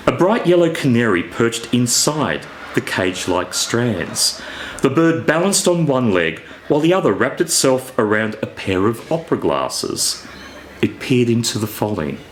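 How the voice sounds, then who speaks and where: A man reads fiction aloud with noticeable background noise. His delivery is theatrical and deliberate, taking its time while the pitch swings expressively through each sentence. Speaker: author reading from own novella. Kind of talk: expressive scripted story reading.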